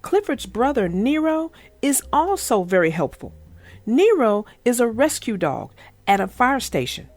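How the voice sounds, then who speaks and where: A woman children's book narrator speaking expressively and deliberately, as if to young children. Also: a little high, sing-song, no echo, faint music behind. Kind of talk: storybook read-aloud and casual chat.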